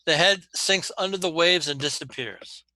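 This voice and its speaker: A man's voice: on the high side, moderate pace, pushed and bright, rough recording. A man running a tabletop role-playing game as game master, bantering and teasing his players.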